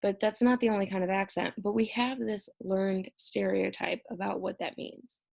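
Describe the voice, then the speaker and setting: A young woman talks through a course review from her home, explaining concepts in a relaxed, conversational teaching manner. Her voice sits at a middle pitch with a gravelly fry running through it, and she sounds stressed and short on sleep. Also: moderate pace. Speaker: college instructor. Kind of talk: recorded exam review lecture.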